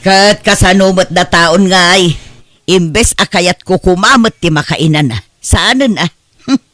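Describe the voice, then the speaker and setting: A woman's voice: on the low side, a little slow, a bit bright. Nagging Ilocano wife and mother. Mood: scolding. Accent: Ilocano, northern Philippines. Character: nagging, strict, resentful middle-aged wife and mother. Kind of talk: Ilocano comedy drama acting.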